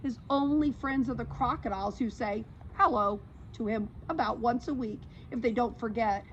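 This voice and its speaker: A woman voicing a kindly old female cat in a storybook, telling tales in an earnest, unhurried way. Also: a little high, muffled recording, a little hiss or hum, natural voice. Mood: earnest, sympathetic.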